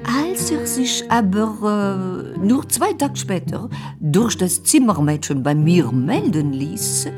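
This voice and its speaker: An actress playing a confidante who recalls a courtship in a radio drama monologue, with hesitant pauses. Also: female voice, medium pitch, very animated. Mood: confiding. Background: music with cello.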